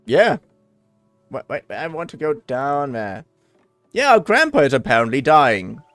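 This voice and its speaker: A man's voice: medium pitch, very animated, unhurried, a bit bright, no room sound, faint music behind. A man livestreaming a video game with casual, theatrical commentary, emphatic and given to casual swearing. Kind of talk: casual let's-play commentary with dramatic read-aloud.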